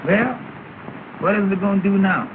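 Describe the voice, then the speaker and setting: A man's voice: high-pitched, normal speed, close to an everyday voice. An actor playing a timid, jittery, submissive man in an early 1930s comedy film, asking hesitant questions in folksy grammar on a scratchy old soundtrack. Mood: uneasy. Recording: scratchy, noisy, narrow-band 1930 film soundtrack.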